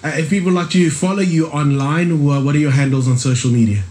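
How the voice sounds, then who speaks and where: A man's voice: a little high, fast talker, a little mellow. Male radio host, friendly and chatty, casual interview in studio. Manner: friendly, leading questions, hums along.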